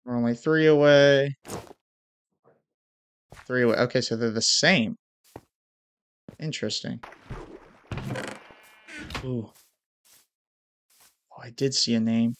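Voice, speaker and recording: A man's voice: medium pitch, steady tone, slow, pauses often, slight background noise. Young male gamer, stuffy nose, headset mic, home room, hyped intros and muttered play-by-play. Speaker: gaming YouTuber. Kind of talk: casual gameplay commentary.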